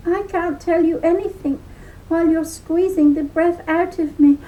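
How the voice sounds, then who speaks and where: A woman's voice: very high voice, steady tone, normal speed, dark tone, voice pushed up, rough recording. A woman voicing a tiny, crafty male leprechaun, indignant and wheezy, in a children's folk tale. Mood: squeezed, indignant. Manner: wheezy, gasping protest.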